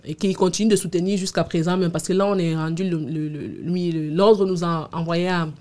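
Low-pitched woman explaining, halting and repetitive. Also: fairly even intonation, warm tone. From abroad, immigrant to Canada. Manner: hesitant, repeats words as she explains. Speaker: podcast guest. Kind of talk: casual interview answers, explaining personal experience. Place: small indoor podcast studio. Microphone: studio microphone on boom arm with headphones.

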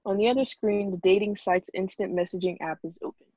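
A woman's voice: medium pitch, fairly even intonation, brisk, mellow, dry recording. A woman reading stage directions aloud as scripted narration at a film table read. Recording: dull lo-fi video call audio.

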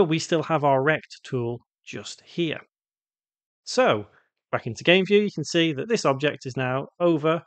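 A man presenting an unscripted step-by-step software tutorial in a casual, instructional way. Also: fairly high pitch, no echo.

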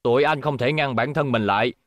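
A man's voice: mid-range voice, brisk, fairly bright tone, no room sound, natural voice. Grim fighting robot voiced by a man. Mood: grim, resolute. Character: tough, grim older-brother fighting robot. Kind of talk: cartoon dubbing.

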